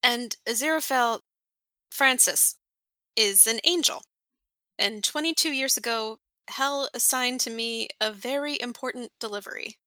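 A woman's voice: fairly high pitch, sharp tone, dry recording, voice pushed up. A woman reading an audiobook, voicing a nervous, fond male demon who halts and corrects himself as he talks. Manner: halting, fumbling, self-correcting. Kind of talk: fan audiobook character voice.

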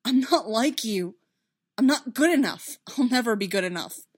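A woman's voice: medium pitch, fairly bright tone. Woman acting an anguished, impassioned young man. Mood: despairing. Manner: impassioned, halting, insistent repetition. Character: anguished young male Jedi, self-doubting, terrified of himself.

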